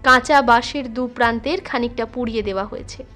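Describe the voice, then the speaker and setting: A woman with a high, bright voice narrates a literary tale. She reads as a scripted audiobook storyteller, with music playing behind her. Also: fast talker.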